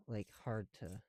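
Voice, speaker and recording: A man chatting informally as an ASMR creator, sweary and teasing, drifting between soft murmurs and louder talk, breathing audibly through a thick mask. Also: fairly low pitch, no room sound. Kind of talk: casual first-person ASMR build chat. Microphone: close mic, speaking through a mask.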